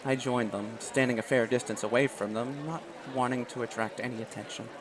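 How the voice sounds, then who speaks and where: A man's voice: medium pitch, fairly even intonation, a little fast, noisy background. A man reading a visual novel aloud in first person, with small restarts. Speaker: let's play host.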